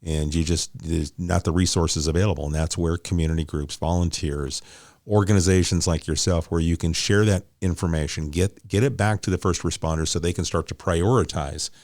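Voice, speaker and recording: A man's voice: deep voice, fairly even intonation, a little fast, a little mellow, no room sound, clean studio sound. A man hosting a conversational interview, affirming his guests and explaining points. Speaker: interview host.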